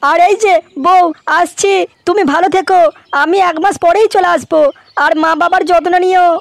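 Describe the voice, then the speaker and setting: A man voicing a lazy, childish grown-up son in a comedy cartoon, with a pitched-up voice. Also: steady tone, normal speed, vocal fry, a bit bright, exaggerated voice. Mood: tearful farewell.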